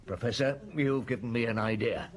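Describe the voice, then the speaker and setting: An actor playing a patient, methodical middle-aged police inspector in a radio drama, reasoning aloud. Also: male voice, medium pitch, brisk, close to an everyday voice. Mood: deliberate. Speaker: detective.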